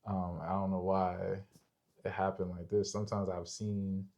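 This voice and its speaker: A young man with a deep, mellow voice that stays fairly flat and dips into fry. He co-hosts a casual podcast on relationships. He lays points out step by step and keeps checking that the listener follows. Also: moderate pace. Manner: conversational, explains step by step, seeks agreement. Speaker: podcast co-host.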